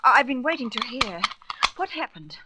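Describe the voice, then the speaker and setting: A woman's voice: a little high, sing-song, normal speed. An actress playing an anxious, caring young female space crew member in a radio serial. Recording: loud noise behind.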